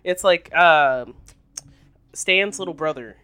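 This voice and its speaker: A man's voice: on the high side, slow, pushed and bright, smooth. A man co-hosting a podcast in loose, casual conversation. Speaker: podcast co-host. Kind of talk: casual chat, swears freely.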